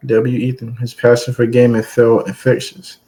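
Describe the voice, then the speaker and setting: A man's voice: mid-range voice, completely flat delivery, normal speed, dark tone, poor audio. A streamer, reading a story aloud and breaking off for loose, joking asides to his chat. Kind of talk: casual commentary between read passages.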